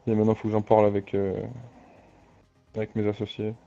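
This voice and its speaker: A man playing a composed, authoritative crime family boss in an online roleplay game, speaking formally and in a measured way with hesitant pauses. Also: on the low side, monotone, dark tone, close to an everyday voice. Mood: firm, polite. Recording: dull lo-fi game voice chat, music.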